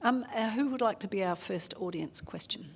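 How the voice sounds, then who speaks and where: A woman's voice: mid-range voice, expressive, moderate pace, a little hiss or hum. A woman chairing a public panel, polite and organising, with frequent fillers. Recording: long hall reverb.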